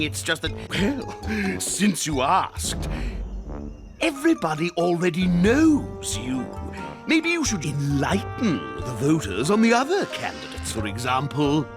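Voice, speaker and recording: A man voicing a scheming, pompous crocodile tyrant in a cartoon, with theatrical grandeur and oily mock politeness. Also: on the high side. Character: scheming, pompous cold-blooded crocodile tyrant, grown male villain. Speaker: cartoon villain. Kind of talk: theatrical animated-series villain acting.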